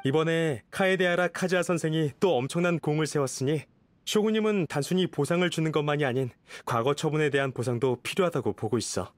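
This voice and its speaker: An actor playing a courteous young noble official in a game, composed and reassuring, speaking in a measured, formal way. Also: male voice, fairly high pitch, no room sound, natural voice. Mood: grave, explanatory. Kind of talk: game voice acting. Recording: music behind the voice.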